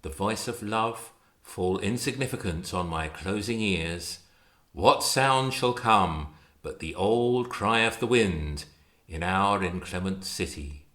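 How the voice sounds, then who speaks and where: Slow, deep-voiced man reciting poetry. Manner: measured, expressive, declamatory. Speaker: poetry reader. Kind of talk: introduced dramatic poetry recitation.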